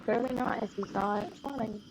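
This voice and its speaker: A woman's voice: mid-range voice, brisk, rough recording. A woman streaming a game, chatting casually and rambling, her voice worn from losing it. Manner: chatty, rambling, laughs often. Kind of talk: casual live gameplay chat. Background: game music.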